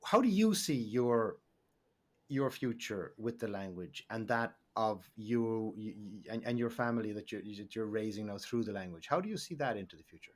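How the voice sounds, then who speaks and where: A man's voice: fairly low pitch, expressive, normal speed. A man hosting an interview podcast, asking long, winding questions with repeated words. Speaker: podcast host.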